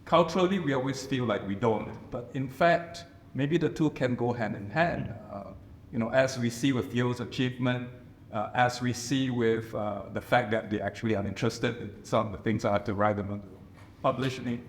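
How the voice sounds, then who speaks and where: A man's voice: mid-range voice, moderate pace, slight background noise. A man from Singapore, speaking thoughtfully on a panel and often restarting his phrases. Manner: thoughtful, restarts phrases, many "you know"s. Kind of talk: reflective panel discussion. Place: reception room at a residence. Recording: roomy live panel audio.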